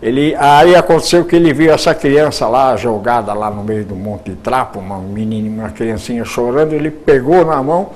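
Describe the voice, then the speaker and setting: An older man, a retired radio producer, reminiscing in an interview, with halting speech full of repeated words and restarts. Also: medium pitch, darkish tone.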